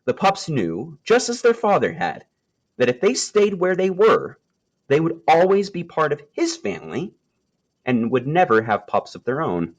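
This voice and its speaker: Man reading a storybook with lively intonation. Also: a little high, normal speed. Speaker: storybook reader. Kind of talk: read-aloud children's nature story.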